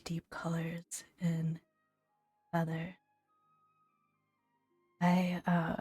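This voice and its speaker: A woman speaks in a hushed, breathy ASMR voice, slightly low and unhurried. She is gentle and fond as she comments, often drifting toward a whisper. The sound is close and dry, with faint music underneath. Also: no echo. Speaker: host of a gaming ASMR video. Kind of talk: soft-spoken ASMR, relaxed commentary and read-aloud entries.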